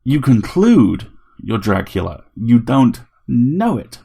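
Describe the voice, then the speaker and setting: A man's voice: medium pitch, sing-song, slow, creaky, mellow, breathy edge, voice pushed up, exaggerated voice. A man voicing a grumpy, sarcastic middle-aged man with an irritable manner. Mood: dry.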